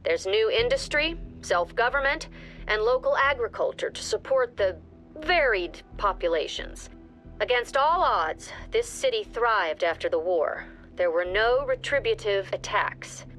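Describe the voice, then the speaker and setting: An actress voicing a calm, authoritative agency director giving businesslike mission briefings in a video game. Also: female voice, on the high side, measured pace, faint music behind.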